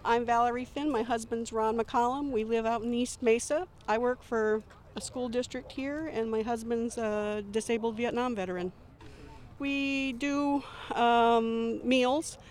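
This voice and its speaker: A woman's voice: on the high side, unhurried, a little mellow. A middle-aged woman volunteer speaking in an earnest, reflective way in an interview. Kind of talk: interview testimony.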